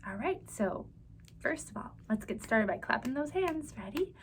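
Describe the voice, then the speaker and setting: A woman telling stories to young children as a library storyteller, with simple, encouraging address and an animated picture-book reading style. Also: high-pitched, normal speed, a little hiss or hum.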